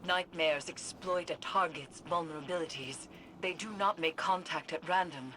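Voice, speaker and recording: A woman's voice: low voice, normal speed, background noise, close to an everyday voice. An actress playing a stern female ally guiding a soldier in a video game, speaking formally in clipped commands. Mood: grave, measured. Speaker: mission guide. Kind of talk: scripted video game voice acting. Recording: loud game music over the voice.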